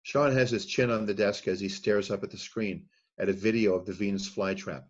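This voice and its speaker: A man narrating the stage directions at a screenplay read-through in a plain, steady reading voice. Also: lowish voice, fairly quick, dull audio. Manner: plain, steady reading of scene headings and action.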